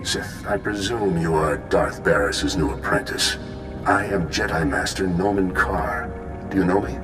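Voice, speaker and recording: An actor playing a stern, self-righteous Jedi Master in a video game, speaking in a measured, formal and solemn way. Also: male voice, low-pitched. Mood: calm, authoritative. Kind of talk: video game cutscene acting. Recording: echoey voice over game music.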